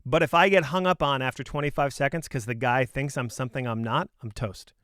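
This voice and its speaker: A man's voice: mid-range voice, brisk, fairly bright tone, clear, resonant tone, no echo, studio quality. A man coaching on sales in an informal, opinionated talk, speaking emphatically and conversationally with punchy rhetorical questions.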